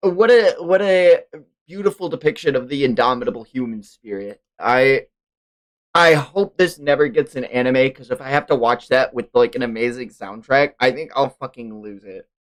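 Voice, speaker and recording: Male livestream host, informal fan talk, medium pitch, hyperbolic slang and frequent swearing. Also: normal speed. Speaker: stream host. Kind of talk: casual livestream chat about manga.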